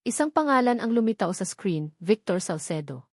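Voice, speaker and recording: A woman's voice: mid-range voice, big pitch swings, normal speed, no room sound. Woman narrating a romantic drama, dry studio sound. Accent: Filipino. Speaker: story narrator.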